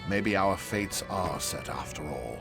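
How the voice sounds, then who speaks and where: A man voicing a weary, cautious old survivor in a fantasy video game, speaking gravely and with doubt. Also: low-pitched, animated, a little slow, full character voice. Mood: regretful. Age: older. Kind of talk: AI-voiced fantasy game dialogue. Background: game music.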